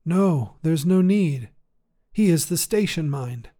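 A man voicing a poised, two-hundred-year-old woman space commander in a science fiction story, speaking in a measured, formal, gently wistful way. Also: fairly high pitch, expressive, pitched above the performer's own voice. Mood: serene, wistful. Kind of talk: acted dialogue in science fiction story reading.

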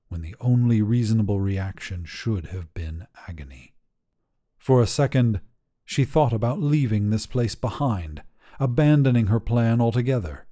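A man reads short fiction as a podcast narrator. His voice sits fairly low, with a very soft, dark and relaxed tone. He tells the story in a measured, dramatic way, as scripted narration.

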